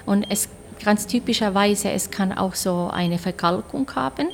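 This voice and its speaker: A woman's voice: mid-range voice, a little slow. A woman working as a senior radiologist, explaining medical findings carefully and hesitantly, word by word. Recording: clearly noisy room recording.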